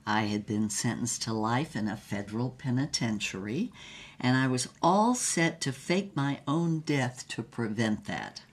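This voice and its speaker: A woman's voice: very deep voice, lively intonation, unhurried, slightly rough, exaggerated voice. An actress playing an old former film noir star, telling her story in a wry, blunt, slangy way. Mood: wry reminiscing. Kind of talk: conversational storytelling in an audio drama.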